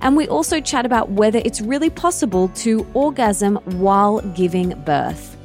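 A woman's voice: medium pitch, lively intonation, normal speed, darkish tone, faint music behind. A woman speaking as a podcast host, with an effusive, enthusiastic way of introducing and interviewing.

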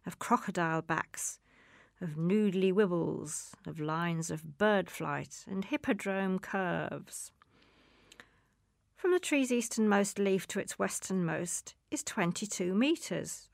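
A woman's voice: medium pitch, creaky, no echo, studio quality. A woman reading a literary magazine article aloud.

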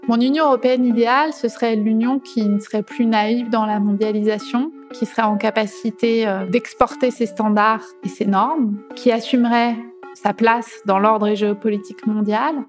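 A woman with a rather high voice gives a political answer in an interview. Soft music plays faintly behind her. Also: fairly even intonation, normal speed.

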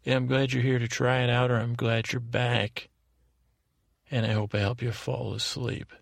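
A male podcast host with a creaky, gravelly voice, rambling haltingly through a whimsical, self-deprecating bedtime monologue in a deliberately dull drone. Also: mid-range voice, little pitch movement, dry recording, studio quality.

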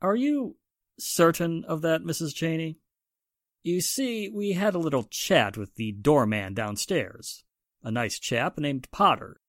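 Stern police inspector, played by a man, pointed and deliberate, lively inflection, dry 1940s-style radio drama. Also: on the high side, no room sound. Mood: probing.

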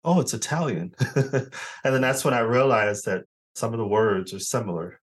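A man's voice: lowish voice, expressive, moderate pace, slightly rough. A man hosting an interview, curious and encouraging toward his guest. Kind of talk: interview conversation.

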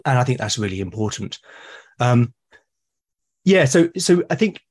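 A man's voice: mid-range voice, big pitch swings, a little slow, darkish tone. A man from Britain, a podcast guest explaining his ideas with enthusiasm and emphasis. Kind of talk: conversational interview.

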